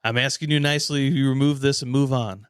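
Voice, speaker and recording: A man's voice: medium pitch, fairly even intonation, quick pace, clean tone, no echo, clean studio sound, lightly acted. Man acting out an indignant, threatening guy. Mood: demanding, threatening. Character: angry, threatening man in a dramatic reading of messages.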